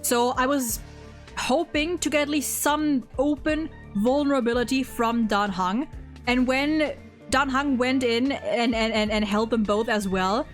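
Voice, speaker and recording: A woman's voice: fairly high pitch, unhurried, soft background music. A woman chatting casually as host about a video game, emphatic and opinionated, swearing freely. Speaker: host of a game story ranking show.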